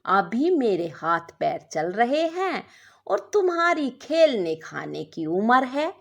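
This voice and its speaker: A woman storyteller voicing a modest, contented young working wife, speaking gently and earnestly. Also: on the high side, sing-song, slow pace, vocal fry, exaggerated voice. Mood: earnest, fond.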